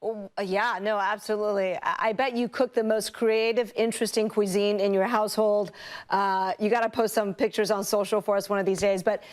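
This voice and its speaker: Woman, interviewer, casual chat, upbeat, average pitch. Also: fairly even intonation, brisk. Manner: upbeat, chatty.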